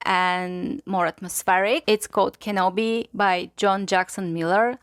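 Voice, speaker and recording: A woman's voice: medium pitch, dry recording, studio quality. A woman whose first language is Bulgarian, speaking English with a Bulgarian accent as she hosts a casual, enthusiastic book review, chatty and full of "like"s. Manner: enthusiastic, gushing, chatty with many "like"s. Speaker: book channel host.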